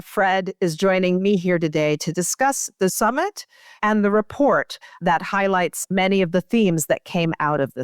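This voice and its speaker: A woman with an American accent and a clear, smooth voice, hosting a conversational interview. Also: on the low side, dry recording, studio quality. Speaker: podcast host and journal editor-in-chief.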